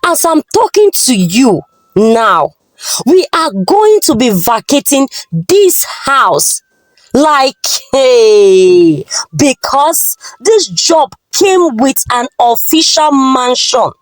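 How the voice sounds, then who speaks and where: A woman with a Nigerian accent voicing an excitable, boastful husband in a folktale, exclaiming dramatically. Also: high-pitched, extremely slow, no echo, full character voice. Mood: amazed, jubilant. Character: excitable, boastful husband, adult man, exuberant. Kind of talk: folktale character dialogue.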